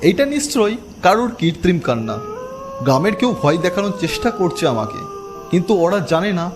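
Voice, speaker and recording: A woman voicing a sceptical young city man, bold and dismissive, with a husky voice, in a cartoon ghost story. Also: lowish voice, very animated, fairly quick, over music, pitched below the performer's own voice. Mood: defiant.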